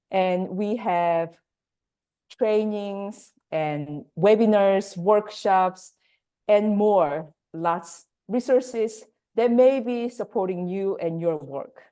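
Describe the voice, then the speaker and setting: A woman whose first language is Korean, hosting and introducing speakers on a video call webinar, measured with pauses. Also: mid-range voice, mellow. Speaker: workshop host and facilitator.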